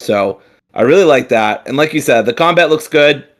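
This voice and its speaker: A man's voice: mid-range voice, expressive, moderate pace, a bit bright. A man co-hosting a gaming podcast, chatting casually and rambling with frequent fillers, restarts and casual swearing. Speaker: podcast co-host. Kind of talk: casual chat.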